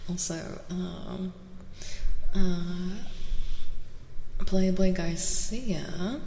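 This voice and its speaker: A soft-spoken woman hosting an ASMR livestream, often near a whisper, greeting viewers in a welcoming way and drifting between repeated phrases and asides. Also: on the low side, steady tone, extremely slow, creaky, long pauses. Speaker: livestream host. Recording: roomy reverberant sound with faint noise.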